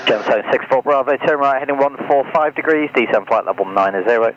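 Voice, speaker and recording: Dull radio transmission, noisy background, male air traffic controller, rapid clipped phrasing, medium pitch. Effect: aviation radio.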